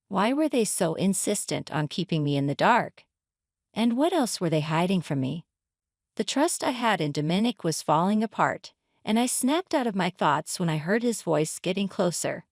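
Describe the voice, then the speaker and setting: Lively woman narrator, dry studio sound. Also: on the low side, no room sound. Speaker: story narrator. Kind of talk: scripted first-person dramatic narration.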